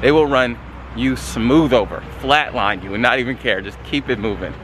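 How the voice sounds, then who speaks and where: A man's voice: mid-range voice, normal speed. A playful man ribs his partner in casual slang, speaking straight to the viewers. His voice sounds roomy and echoey, with a faint hiss behind it. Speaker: vlogger. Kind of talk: casual chat to camera.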